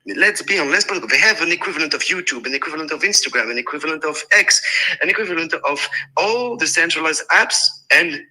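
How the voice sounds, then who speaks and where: A man with a French accent, a native French speaker, talking in a halting way with many restarts in a casual online group chat. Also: on the high side, animated, sharp tone, poor audio. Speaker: guest speaker. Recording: online audio space.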